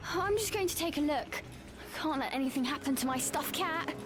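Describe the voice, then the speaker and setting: High-voiced stubborn little witch girl. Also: expressive, moderate pace. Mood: worried, grudging. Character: stubborn, plucky ten-year-old witch girl. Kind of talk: video game character acting. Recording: music behind the voice.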